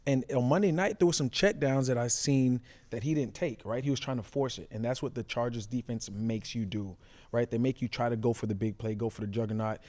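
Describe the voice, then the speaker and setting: Quick pace, mellow tone, male sports analyst, colloquial, explaining plays in conversation. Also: mid-range voice. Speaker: sports talk show co-host, former player turned analyst.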